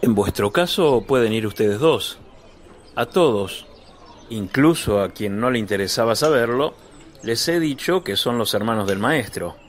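An eager, self-assured young disciple played by a man in a radio play. His pitch moves animatedly up and down around a middle register, and his manner is vivacious and insistent. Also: expressive, unhurried, a little hiss or hum, lightly acted. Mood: eager, assertive. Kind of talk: radio drama acting.

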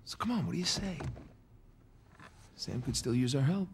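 A man's voice: a little high, expressive, moderate pace, a little hiss or hum. An actor playing an eager, stubborn treasure hunter in his thirties in a video game, an American man talking casually and persuasively. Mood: pleading, insistent. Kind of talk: cinematic video game acting.

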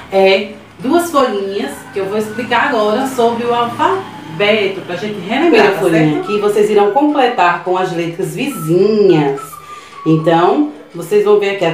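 A woman in her thirties, a preschool teacher with a Brazilian Portuguese accent, talking a class of young children through worksheets patiently and often checking in with them. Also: medium pitch. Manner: patient, repeats "tá certo?" to check in. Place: small classroom with whiteboard, indoors. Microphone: camera across the room. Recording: roomy sound from a distant camera, soft music.

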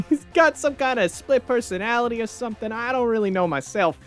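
Animated woman ad-libbing over music. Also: on the high side, brisk. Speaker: gaming channel host. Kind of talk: improvised comic dubbing and casual chat.

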